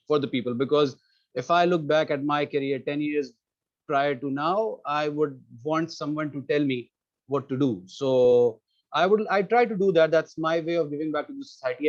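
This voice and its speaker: A man in his thirties from Pakistan speaks on a video call, his voice set a little high for a man. He talks in an earnest, halting way, often pausing on fillers. The sound is dull and muffled, like a webinar mic. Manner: hesitant, filler-heavy, earnest. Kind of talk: webinar presentation. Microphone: computer mic on a video call. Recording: muffled online webinar audio.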